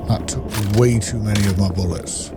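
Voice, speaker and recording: Low-voiced man over game music. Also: normal speed, gravelly. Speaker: gaming video host. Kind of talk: casual gameplay commentary.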